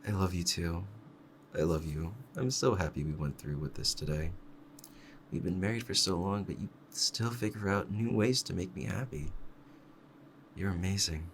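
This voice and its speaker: A man playing a devoted, clingy yandere fox-spirit husband in an intimate ASMR roleplay, speaking in a soft, tender bedtime murmur. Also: low voice, moderate pace, a little hiss or hum. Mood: tender, adoring.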